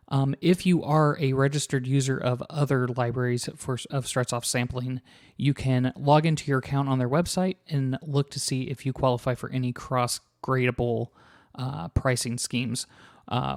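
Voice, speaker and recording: Brief: hoarse male reviewer, gravelly fry, loose filler-heavy delivery, home-studio walkthrough. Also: medium pitch. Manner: relaxed, filler-heavy, restarts sentences. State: hoarse, scratchy voice. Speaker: sample library reviewer. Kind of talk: casual product walkthrough.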